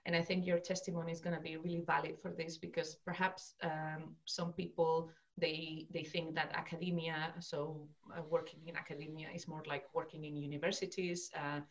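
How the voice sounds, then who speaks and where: A woman's voice: low-pitched, level tone, brisk, few pauses, muffled recording. A woman hosting a podcast interview, enthusiastic and hesitant, with many fillers. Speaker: podcast host. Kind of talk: casual interview chat.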